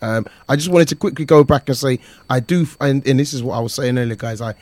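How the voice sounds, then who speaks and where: A man's voice: mid-range voice, fast, darkish tone, no echo. A man hosting a casual boxing call-in show, chatty and easygoing, greeting callers. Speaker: host. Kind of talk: casual radio-style chat with callers.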